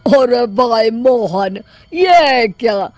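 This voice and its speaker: A woman plays a genial male office boss in a cartoon family tale. The delivery is slow and unbothered, in a fairly high register, forgiving and easygoing. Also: expressive, darkish tone, no echo, faint music behind. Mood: surprised. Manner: unhurried, chuckling.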